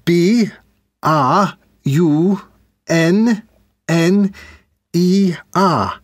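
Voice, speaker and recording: A man from Switzerland playing a student in a speaking test, answering slowly with small hesitations. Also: high-pitched, no echo, clean studio sound. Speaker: exam candidate. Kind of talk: scripted exam answers.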